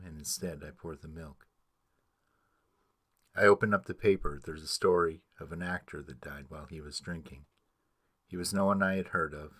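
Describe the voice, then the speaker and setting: A man's voice: sits low, fairly bright tone, dry recording, clean studio sound. A man giving a rhythmic, storytelling dramatic reading of narrative verse.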